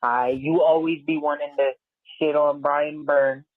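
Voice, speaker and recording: A young man in his late teens calling in to a sports podcast, speaking slowly in a casual chat. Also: on the high side, no room sound. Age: young adult. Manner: slow, flat delivery. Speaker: caller. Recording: tinny phone line.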